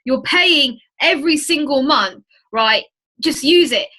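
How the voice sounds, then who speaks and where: A woman's voice: high voice, expressive, measured pace, bright, forceful tone. A woman hosting an informal online training webinar, chatty and emphatic, urging her listeners on as she talks to them directly. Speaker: webinar host and trading mentor.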